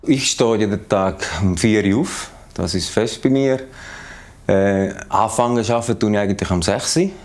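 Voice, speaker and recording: Swiss postal veteran in his fifties, earnest and searching for words, low gravelly voice. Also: normal speed, darkish tone. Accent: Swiss German. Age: 50s. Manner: earnest, repeats himself while searching for words. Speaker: postal worker. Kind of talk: documentary interview.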